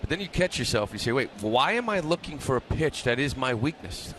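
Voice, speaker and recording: Guy doing baseball color commentary, relaxed chat, normal pitch, a bit of ballpark noise. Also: a little fast. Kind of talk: conversational baseball analysis. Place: ballpark broadcast booth.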